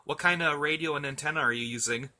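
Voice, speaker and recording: A man's voice: fairly high pitch, fast, noisy background. A man in a casual radio chat, talking in an easygoing, chatty and hesitant way as an amateur operator.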